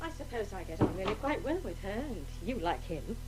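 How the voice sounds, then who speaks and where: A woman's voice: medium pitch, lively intonation, fairly quick, darkish tone, soft background music, lightly acted, poor audio. A woman playing an anxious, placating wife in a radio drama. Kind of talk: 1980s radio drama acting.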